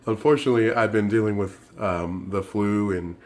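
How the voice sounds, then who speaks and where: Low-voiced male host, hoarse, casual rambling reviewer delivery. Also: steady tone, normal speed, darkish tone. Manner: rambling, lots of fillers and restarts. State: hoarse, voice worn from the flu. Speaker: tech reviewer and channel host. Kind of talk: casual unboxing and review talk.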